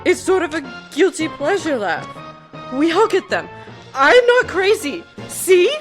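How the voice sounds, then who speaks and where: A woman's voice: very high-pitched, sing-song, faint music behind, full character voice. A woman voicing a manic, unhinged female villain in a theatrical way for a parody game dub. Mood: shaken, defensive. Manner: theatrical, slow, hysterical.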